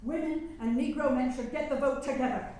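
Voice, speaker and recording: An actress playing an ardent, stubborn suffrage crusader in a staged historical drama, speaking emphatically and insistently. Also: female voice, high voice, fairly even intonation, a little fast, dark tone, natural voice. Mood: indignant. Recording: very echoey room with faint noise.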